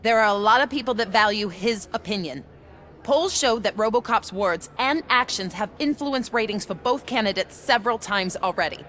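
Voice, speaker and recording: A woman's voice: medium pitch, moderate pace, a bit bright, lightly acted. An actress playing a female news reporter who asks probing, formal questions.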